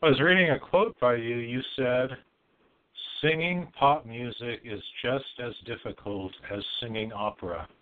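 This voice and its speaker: A man's voice: mid-range voice, unhurried. Male host on a tinny call line. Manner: casual, friendly questions with fillers like "you know". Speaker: show host. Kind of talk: remote interview hosting.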